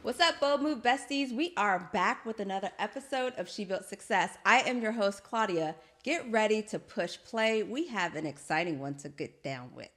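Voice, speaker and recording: A woman hosting a podcast, chatting casually and affirmingly, with lively colloquial phrasing. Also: mid-range voice, moderate pace, hardly any pauses. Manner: lively, colloquial, laughs often, affirming fillers. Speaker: podcast host. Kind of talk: casual interview chat.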